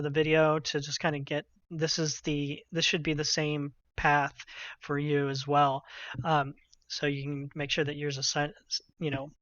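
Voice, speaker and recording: A man's voice: a little high, fairly bright tone, no echo. Man giving a dull-sounding, dry tech tutorial. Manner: casual, halting, thinking aloud. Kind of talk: informal unscripted software walkthrough. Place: indoors at a computer. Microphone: computer headset mic.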